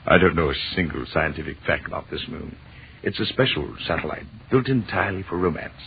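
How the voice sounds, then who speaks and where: Deep-voiced, professorial husband, old radio. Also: male voice, expressive, fairly quick, creaky. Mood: playful, teasing. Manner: teasing, wordy, mock-formal. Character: playful, romantic, professorial husband, an astronomy instructor. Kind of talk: 1930s radio acting. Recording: muffled, noisy 1930s radio recording.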